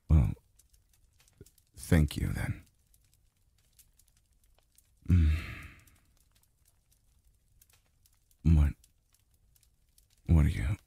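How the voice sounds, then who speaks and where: An extremely low, husky, breathy male voice, put on by a man for an intimate ASMR roleplay as a tired, tender immortal lover. He murmurs very slowly and haltingly, with soft sighs. It is close and dry on the mic. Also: expressive, no room sound, exaggerated voice. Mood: weary, reluctant, then relaxing, yielding. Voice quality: very deep, gravelly, breathy. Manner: halting, murmured, sighing delivery. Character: weary, tender adult male immortal being soothed by a lover. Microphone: close dry microphone.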